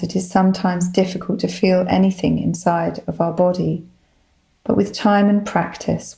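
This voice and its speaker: A woman leading a guided body scan meditation, giving gentle, soothing instructions in a calm manner. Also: fairly low pitch, creaky. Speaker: psychologist, guided meditation leader.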